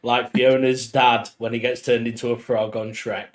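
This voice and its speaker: A man's voice: medium pitch, little pitch movement, normal speed. A young man co-hosting a podcast in casual chat, teasing his co-host and laughing often.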